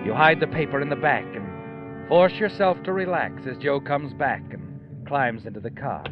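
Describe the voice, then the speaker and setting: An actor playing an ominous, knowing narrator in a 1940s radio suspense drama, speaking in an insinuating, measured way. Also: male voice, mid-range voice, lively intonation, close to an everyday voice. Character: ominous, knowing male narrator addressing the villain. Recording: muffled old radio recording with music.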